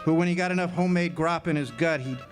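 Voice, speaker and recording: A man's voice: fairly high pitch, quick pace, natural voice. Man playing a folksy, wry drifter over guitar. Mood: fond. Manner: plainspoken folksy storytelling. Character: weathered male drifter, gassed Great War veteran, wry loner. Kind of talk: 1940s radio drama narration. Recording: guitar music behind the voice. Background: acoustic guitar music.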